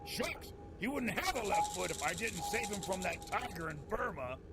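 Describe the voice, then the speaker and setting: Brash high-voiced adventurer played by a man, noisy recording. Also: big pitch swings, normal speed. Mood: breezy, boastful. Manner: breezy 1920s American slang. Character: brash, cheerful male film director and adventurer. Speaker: voice actor in an audio drama. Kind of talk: old-time adventure serial acting. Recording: loud background noise.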